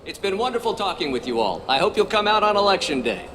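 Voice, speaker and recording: A fast, high-voiced male politician in a video game. He is glib and glad-handing with a very animated pitch, over faint noise. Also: full character voice. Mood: polished, upbeat.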